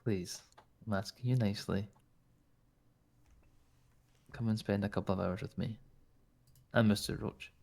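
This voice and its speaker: A man's voice: fairly low pitch, fairly even intonation, a little slow, vocal fry, pauses often, darkish tone. A man with a Scottish accent talking in character during a roleplay, flirtatious and teasing. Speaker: roleplay player.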